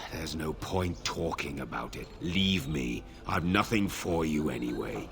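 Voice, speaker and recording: An actor playing a bitter, weary older nobleman in a fantasy video game, gruff, curt and dismissive. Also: extremely low pitch, lively intonation, unhurried, soft background music, heavily acted. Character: bitter, weary older nobleman who lost his sons. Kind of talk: video game dialogue acting.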